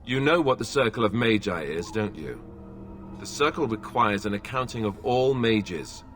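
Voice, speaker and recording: Wary warrior, lowish voice. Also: male voice, expressive, moderate pace, a bit bright, slight background noise. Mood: sceptical. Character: wary, sceptical young male warrior companion. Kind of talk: fantasy video game acting.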